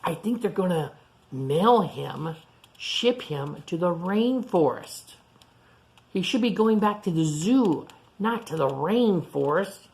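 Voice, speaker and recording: A woman reading a picture book aloud to children, chatting to them in animated asides and questions. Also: medium pitch. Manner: animated asides to young listeners, asking questions. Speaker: storytime reader.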